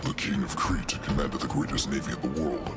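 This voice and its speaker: A man's voice: rumbling low, steady tone, a little fast, loud music behind the voice. An actor playing a hulking, stoic bull-man warrior in a video game, speaking in a solemn, formal way. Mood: grave, stoic. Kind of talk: video game character dialogue.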